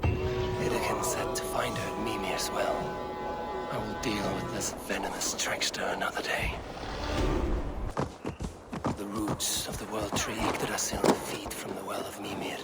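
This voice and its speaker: A man's voice: fairly low pitch, unhurried, pauses often, over loud music, close to an everyday voice. Man acting a brooding, wrathful Norse god. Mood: brooding resolve. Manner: solemn, archaic, threatening speech. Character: brooding, wrathful male Norse god, proud protector of his people. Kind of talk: video game dramatic acting. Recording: clear background ambient noise.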